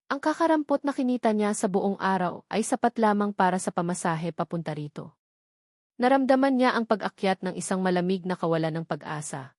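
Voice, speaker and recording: A woman's voice: mid-range voice, darkish tone, no echo, clean studio sound. A woman narrating a scripted love story in a dramatic storytelling style. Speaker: narrator of audio love stories.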